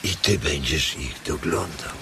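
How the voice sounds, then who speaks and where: An actor playing a weary, protective, sorrowful farmhand in a radio drama, speaking in a halting, tender way. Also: male voice, a bass voice, creaky, exaggerated voice. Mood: tender, sad. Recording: noisy nature ambience behind.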